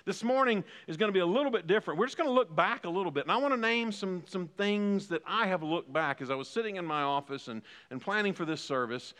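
Fifty-something pastor preaching, high bright voice, echoey church hall. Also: male voice, animated, fast talker, hardly any pauses. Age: 50s. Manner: preaching with rising emphatic repetition. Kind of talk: sermon.

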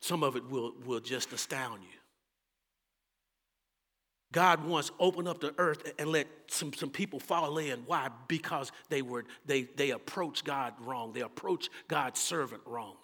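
A Texan man preaching a sermon as pastor, moving between loud, fervent calls and quiet, low asides. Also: a little slow, big-room sound.

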